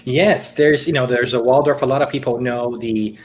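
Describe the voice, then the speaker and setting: A middle-aged man raised in Brazil and speaking English as a talks through his story as a podcast guest. He is reflective and unhurried, pausing on fillers and restarting sentences, at an ordinary mid pitch. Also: steady tone. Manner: thoughtful, with many fillers and restarts. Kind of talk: casual interview conversation.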